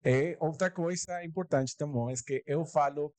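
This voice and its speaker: A man's voice: a little high, moderate pace, a little mellow, clean tone, no room sound. A man from Mexico whose first language is Spanish, giving a live talk at a corporate event with a Mexican Spanish accent. He jokes about himself, laughs often and slips Spanish words into his Portuguese. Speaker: guest speaker.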